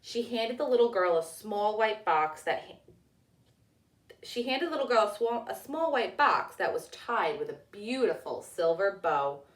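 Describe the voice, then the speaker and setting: A woman's voice: mid-range voice, lively intonation, unhurried, recorded from a distance. Woman reading a storybook in an echoey room. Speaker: teacher reading aloud. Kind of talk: children's storybook narration. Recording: echoey room, away from the mic.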